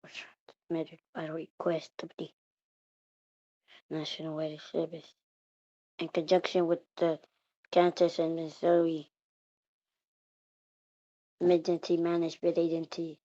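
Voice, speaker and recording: A woman reading out an improvised mock emergency alert in a halting way, repeating and restarting her words. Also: deep voice, monotone, mellow. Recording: muffled, roomy home recording.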